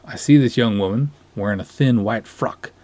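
A man's voice: medium pitch, normal speed, dark tone. A man giving a dramatic story reading in a formal, wordy, theatrical way. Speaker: podcast host reading a short story aloud. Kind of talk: dramatic reading of narrated dialogue.

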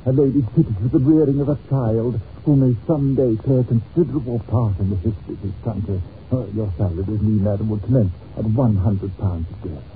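An actor playing an effusive, wheedling gentleman employer with odd whims in a radio drama, gushing and stammering as he repeats himself. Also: on the low side, brisk, hardly any pauses, natural voice. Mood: blustering, grandiose. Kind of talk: old-time radio drama acting. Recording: muffled, noisy old-time radio recording.

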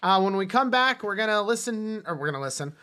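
A man's voice: sits high, animated, quick pace, sharp tone. A man co-hosting a podcast, chatting casually about film and television. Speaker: podcast co-host.

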